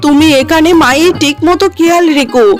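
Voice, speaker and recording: A woman as a high-voiced dutiful young husband. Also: a little fast, faint music behind, close to an everyday voice. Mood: earnest, urging. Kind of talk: cartoon story acting.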